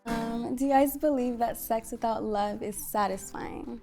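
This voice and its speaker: A twenty-year-old woman talking casually about herself in halting, tentative phrases. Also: a little high, over music. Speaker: dating show contestant. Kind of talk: casual personal talk.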